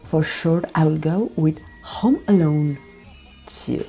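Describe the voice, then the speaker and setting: A woman from Romania with a Romanian accent, speaking a gentle, careful voiceover for her makeup tutorial, often dropping near a whisper. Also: low voice, slow pace, breathy edge. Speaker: beauty vlogger. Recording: voiceover with background music.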